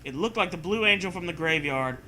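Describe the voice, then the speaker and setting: Bright-voiced man hamming up a story reading. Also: fairly high pitch, lively intonation, fairly quick, slight background noise. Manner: hammy, breaks off to riff and joke. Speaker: co-host reading a story aloud. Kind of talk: comedic dramatic reading with casual asides.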